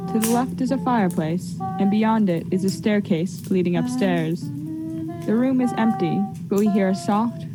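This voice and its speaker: A woman acting as narrator of a radio play, reading scripted stage directions in a measured, descriptive way. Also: medium pitch, lightly acted, rough recording. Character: stage-direction narrator setting a colonial household scene.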